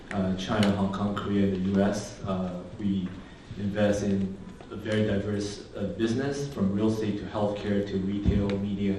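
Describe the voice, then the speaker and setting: A man's voice: fairly low pitch, warm tone, a little hiss or hum. A man introducing himself on a conference panel in a hesitant way, with many fillers. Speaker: panelist, family office investor. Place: conference stage in a large hall. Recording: echoey hall, distant mic.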